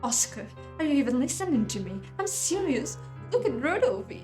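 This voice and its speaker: A woman's voice: sits high, big pitch swings, moderate pace, voice pushed up. Lady doing a panicky young bride-to-be, visual novel, frantic and begging, music behind. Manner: frantic, urgent. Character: frightened, pleading young bride-to-be.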